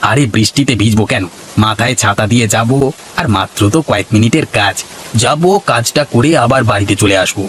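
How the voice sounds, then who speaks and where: A man voicing a humble, honest village fisherman husband in an animated folk tale, with a plaintive, earnest, homely manner. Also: on the low side, fairly quick, a little hiss or hum, lightly acted. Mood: reassuring. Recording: music behind the voice.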